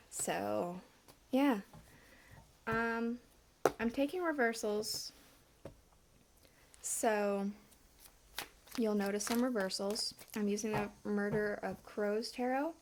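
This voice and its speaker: A woman giving a casual tarot reading, still congested, with a slight cough and a bit winded, talking in a relaxed, chatty way with many fillers. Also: mid-range voice, noisy background. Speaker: tarot reader.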